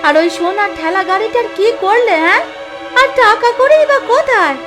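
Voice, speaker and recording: A nagging cartoon housewife, indignant and exasperated. Her voice is extremely high, with clear music playing behind it. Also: animated, normal speed. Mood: scolding. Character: scolding, exasperated, money-minded village housewife. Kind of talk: animated moral story acting.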